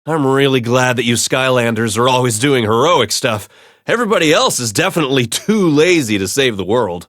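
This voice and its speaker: A man's voice: mid-range voice, fairly bright tone, no room sound, clean studio sound. A man voicing a friendly, chatty islander in a cartoon video game, with a casual manner.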